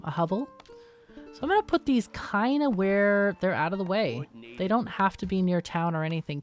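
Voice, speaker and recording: A woman's voice: fairly low pitch, darkish tone. A woman hosting a gaming video with casual let's-play commentary, explaining and thinking aloud as she plays.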